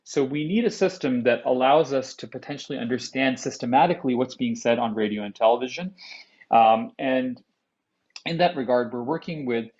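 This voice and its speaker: A man's voice: medium pitch, moderate pace, darkish tone, muffled recording. A man speaking at a conference panel, in unscripted remarks that often restart and repeat words.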